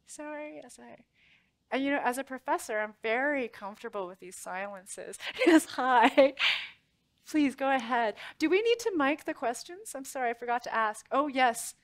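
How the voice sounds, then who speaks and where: A woman's voice: sits high, animated, normal speed. A woman in her forties, a professor moderating a public panel, speaking informally and laughing easily with her guests. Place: large auditorium stage. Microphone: headset mic.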